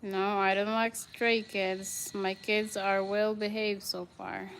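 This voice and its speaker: Slow-talking Filipino-accented woman. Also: mid-range voice, little pitch movement, creaky, a bit bright, a little hiss or hum, poor audio. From Philippines. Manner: slow, chatty, greets viewers by name. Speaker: vlogger, mother. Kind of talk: casual live-stream house tour.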